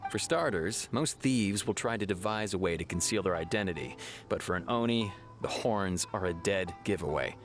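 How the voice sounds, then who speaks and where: Stern officer, firm, matter-of-fact, lowish voice. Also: male voice, lively intonation, normal speed. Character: stern, self-assured law officer. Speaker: official pursuing a suspect. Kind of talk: video game voice acting. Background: game music.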